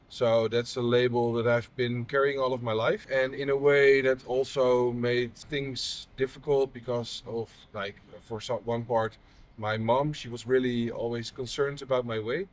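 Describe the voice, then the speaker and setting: Man, 30s, from the Netherlands, halting, open, personal conversation. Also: mid-range voice. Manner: halting, many fillers and restarts. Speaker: patient advocate interviewer.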